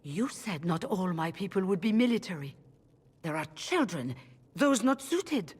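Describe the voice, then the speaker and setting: An actress playing a weary, defensive woman who leads rebel mages, speaking in a formal, measured way. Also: lowish voice, sing-song, slightly rough, full character voice. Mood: troubled. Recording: long hall reverb.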